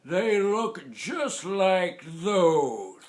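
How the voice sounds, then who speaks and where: A man voicing a storybook grandfather, old, toothless and indignant, with a lisp and drawn-out, loud exclamations. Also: high voice, sing-song, pitched above the performer's own voice, heavily acted. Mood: suspicious. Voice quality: lisping, toothless speech.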